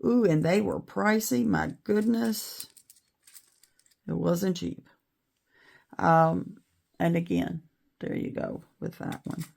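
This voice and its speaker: A middle-aged woman talks slowly in a low, gravelly voice that is still raspy from a recent illness. She chats and rambles as she works, apologizing for her slips with easy self-deprecation. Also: sing-song, darkish tone. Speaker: beading video host. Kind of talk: casual tutorial chat.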